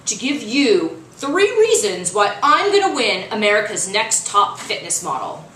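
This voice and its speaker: A woman's voice: medium pitch, very animated, fairly bright tone. A woman voicing a smug, vain middle-aged male gym coach in a boastful storybook reading. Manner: boastful, drawn-out delivery. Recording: roomy, distant mic, faint noise.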